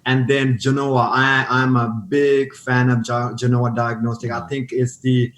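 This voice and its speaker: A man's voice: medium pitch, monotone, a little slow, a bit bright, slight background noise. Sri Lankan-accented man in an echoey room. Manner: eager, repeats words, starts and restarts. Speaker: guest. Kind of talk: storytelling interview answers.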